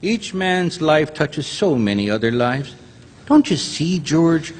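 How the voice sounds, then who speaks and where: An actor playing a gentle, bumbling elderly guardian angel, mild and hesitant, in an old-time radio drama performed on stage. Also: male voice, fairly high pitch, sing-song, slow, exaggerated voice. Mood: solemn. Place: live theater stage performance. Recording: echoey theater stage recording.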